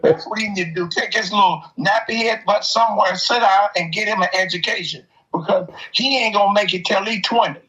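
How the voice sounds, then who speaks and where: A man's voice: high-pitched, brisk, few pauses, pushed and bright. An older man in casual, opinionated conversation, telling stories in an emphatic, streetwise way. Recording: dull, lo-fi audio.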